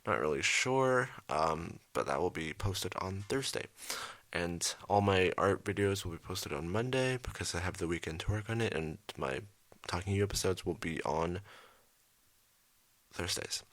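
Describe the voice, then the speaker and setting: A young man hosting his art channel, rambling through a late-night voiceover with lots of ums, speaking quietly and a little raspy. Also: deep voice, animated, normal speed, dry recording. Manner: rambling, many ums, trailing off. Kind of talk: casual voiceover over a speed drawing. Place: his bedroom at home, at night.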